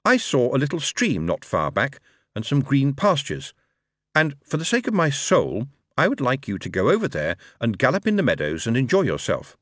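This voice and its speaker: A man's voice: medium pitch, wildly swooping pitch, normal speed, no echo, clean studio sound, pitched above the performer's own voice. A man voicing an exasperated young man pleading with a horse.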